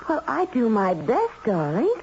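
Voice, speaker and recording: High, airy, pampered radio-drama wife. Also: female voice, wildly swooping pitch, measured pace, darkish tone, full character voice. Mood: blithe. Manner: airy, teasing, calls husband darling. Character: pampered rich-born wife, airy, flirtatious, unworried about money. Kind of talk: 1970s radio drama acting. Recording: dull old radio broadcast, faint hiss.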